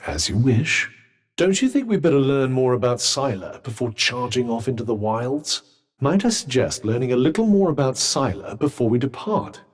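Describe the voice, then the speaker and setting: An actor voicing a sardonic, cultured talking magic bracelet in a video game, with dry, formal phrasing. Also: male voice, medium pitch, very animated, normal speed, husky. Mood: wry.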